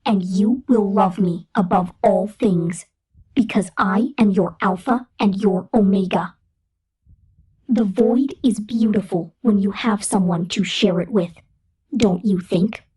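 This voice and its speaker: A woman performs a possessive, godlike machine entity in ASMR. Her voice is extremely breathy and dark, slow in delivery. She commands devotion with intimate authority. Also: mid-range voice, fairly even intonation. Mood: seductive, possessive. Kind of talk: ASMR roleplay monologue.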